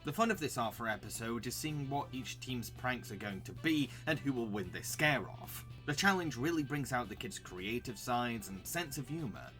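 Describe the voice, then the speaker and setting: A man's voice: medium pitch, expressive, normal speed, few pauses, fairly bright tone, soft background music. A man hosting a video review in scripted narration, with an enthusiastic, recommending delivery.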